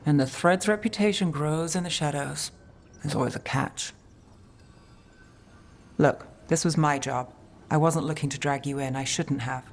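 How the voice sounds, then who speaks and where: A low-voiced, jaded woman investigator. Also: unhurried, pauses often, slight background noise. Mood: guarded, terse. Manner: terse, weary, guarded. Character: jaded, hard-bitten woman investigator in a fantasy city. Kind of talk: dramatic video game dialogue.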